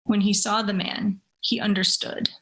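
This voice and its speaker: A woman who speaks in a measured, deliberate way, with careful phrasing. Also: mid-range voice, level tone. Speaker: story reader. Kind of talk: dramatic literary reading, then casual discussion.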